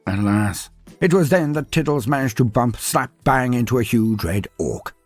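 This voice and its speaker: A man's voice: medium pitch, animated, moderate pace, soft background music. A man narrating a scripted fantasy story in a theatrical, florid and whimsical way. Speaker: narrator of fantasy lore stories.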